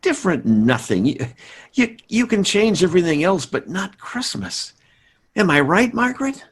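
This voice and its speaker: Blustery american radio sitcom dad, stubborn, kinda husky, pitched high and swinging around. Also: male voice, big pitch swings, normal speed, exaggerated voice. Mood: exasperated. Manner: blustering, stammering, emphatic. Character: idealistic, stubborn, exasperated sitcom father, middle-aged. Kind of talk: 1950s radio sitcom acting, performed by players.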